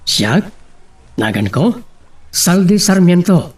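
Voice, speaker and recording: A man's voice: high-pitched, big pitch swings, takes it slowly, far from the mic, background noise, full character voice. A man with a Filipino accent playing a hesitant, wounded adult son in an audio drama. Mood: earnest.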